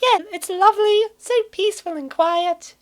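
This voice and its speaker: Woman playing very high-voiced, naive college girl. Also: moderate pace. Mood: reflective. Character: cheerful naive college woman narrating her weight gain. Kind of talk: comic dub character acting with narration.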